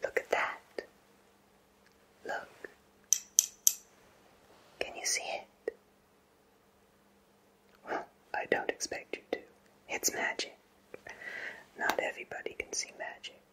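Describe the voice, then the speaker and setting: A gentle male potion shopkeeper from a magical ASMR roleplay, acted by a man. He whispers at an unhurried, deliberate pace. His manner is courteous and soft, spoken right up close to the listener. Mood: wistful, enchanted.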